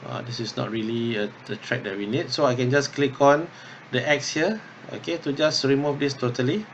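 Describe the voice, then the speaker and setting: A man instructor talking through an unscripted tutorial step by step, thinking aloud haltingly and often checking in with okay or yeah. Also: mid-range voice, dull audio, a little hiss or hum. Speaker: drama workshop instructor.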